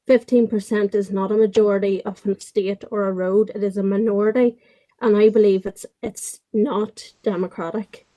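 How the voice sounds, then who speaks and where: A woman councillor makes a firm, measured speech in a council debate. Her voice is mellow and dark. She reads through her prepared points steadily. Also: mid-range voice, fairly even intonation, poor audio.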